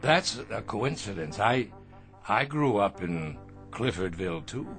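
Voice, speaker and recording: A man's voice: fairly low pitch, big pitch swings, measured pace, fairly bright tone, faint music behind, heavily acted. An actor playing a meek, downtrodden old man in a radio drama, stammering, pleading and deferential. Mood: meek, subdued. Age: older.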